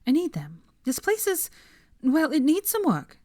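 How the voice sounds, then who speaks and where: Woman acting a young witch, high lively pitch, flustered, evasive, breathless, storybook reading. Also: measured pace, no echo, studio quality, heavily acted. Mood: brisk, absorbed. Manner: breathless, defensive.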